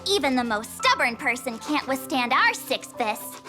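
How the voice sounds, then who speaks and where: Perky high-pitched teenage girl. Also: normal speed. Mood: confident, teasing. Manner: bubbly, expressive. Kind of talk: scripted anime-style game dialogue. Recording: loud music bed.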